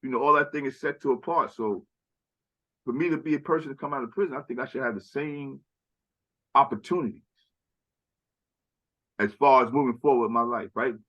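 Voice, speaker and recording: A man's voice: medium pitch, fairly quick, pauses often, a bit bright, highs cut off. A man speaking in a casual conversational chat as a podcast guest, rambling and often tagging "right?" and "you know".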